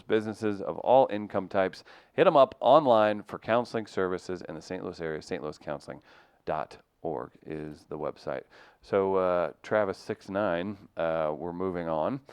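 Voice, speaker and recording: Host, male, lower voice, smooth tone, wry delivery. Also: no room sound. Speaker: podcast host. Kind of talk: casual chat and live ad read.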